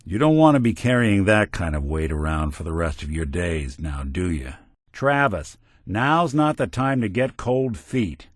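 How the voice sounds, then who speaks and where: An actor playing a world-weary robot detective in a video game, gruff, wry and kindly, with old-fashioned hard-boiled slang. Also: male voice, deep voice, expressive, unhurried, no echo, clean studio sound. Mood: encouraging. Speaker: video game companion character. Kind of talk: noir detective game dialogue.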